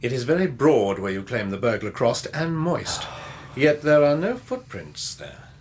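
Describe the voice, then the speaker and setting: English police inspector, polite but firm, mid pitch, expressive, bit of background noise, radio drama. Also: male voice. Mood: polite, probing. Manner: measured, firm questioning.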